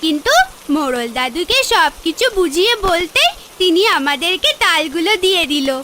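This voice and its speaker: A little village girl in a cartoon fairy tale, eager and pleading. The voice is extremely high and swings freely up and down. Loud rain runs behind it. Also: lively intonation, normal speed, a little hiss or hum, exaggerated voice. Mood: earnest.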